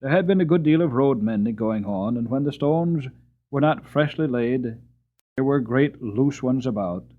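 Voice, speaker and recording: Male audiobook reader, dramatic and animated, tinny lo-fi audio. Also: medium pitch. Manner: expressive, drawn-out emphasis. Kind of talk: dramatic storybook reading.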